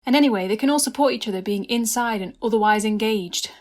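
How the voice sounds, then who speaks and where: Woman acting wry detective in a comedy whodunit, brisk, voice on the high side. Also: darkish tone, natural voice. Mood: brisk, probing. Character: brisk, wry lead detective questioning suspects. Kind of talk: amateur audio murder-mystery comedy acting.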